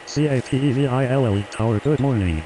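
Man on radio, loud background noise. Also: medium pitch, fast, poor audio. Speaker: air traffic radio voice in a game. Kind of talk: clipped scripted aviation radio phraseology.

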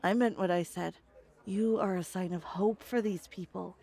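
A woman's voice: mid-range voice, steady tone, normal speed, gravelly, dark tone, breathy edge. An actress playing a fierce, foul-mouthed, hopeful woman pilot in an apocalyptic sci-fi audio drama. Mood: warm, confident. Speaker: voice actor.

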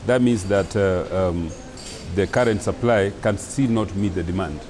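Low-voiced man, deliberate and hesitant. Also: background noise. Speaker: company founder. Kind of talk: interview.

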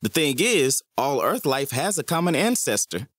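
A man voicing a defensive, science-minded young man in a story, in a husky voice. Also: mid-range voice, big pitch swings, moderate pace, a bit bright, pitched above the performer's own voice.

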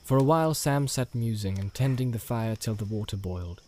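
Male narrator for a fantasy audiobook, mid-range voice, measured literary storytelling. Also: mid-range voice, a little mellow, slight background noise.

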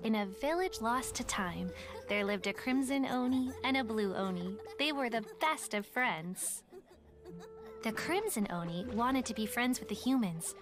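An actress playing a gentle storybook narrator, telling an old folk tale softly and without hurry. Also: female voice, on the high side, lively intonation. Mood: wistful. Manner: soft, unhurried storytelling. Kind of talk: scripted fairy-tale narration. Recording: music clearly behind.

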